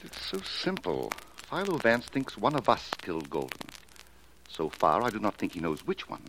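A scheming male stage mystic in an old radio thriller. The voice is very low yet moves about theatrically, with formal, insinuating phrasing. Noticeable noise runs through the vintage recording. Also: huge pitch swings, moderate pace. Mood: calculating. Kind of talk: 1940s radio mystery acting.

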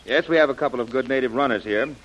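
An actor as a crisp commanding leader. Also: male voice, medium pitch, quick pace, a bit bright, dull audio, close to an everyday voice. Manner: crisp, authoritative orders. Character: commanding male expedition leader. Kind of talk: 1930s radio acting.

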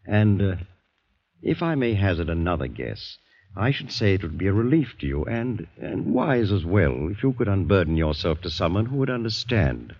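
A man's voice: low voice, sing-song, normal speed, breathy edge, full character voice. A man with a British accent playing a solicitous, probing English doctor and veteran in a genteel, coaxing way, in 1940s radio drama style. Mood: probing, persuasive. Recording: dull old radio drama recording.

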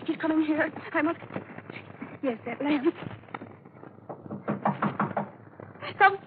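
An actress playing a frightened young American woman near hysterics in a 1940s radio mystery, with a breathless, pleading, melodramatic delivery in short halting phrases. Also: sits high, animated. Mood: panicked, pleading. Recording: scratchy, tinny 1940s radio broadcast, heavy noise.

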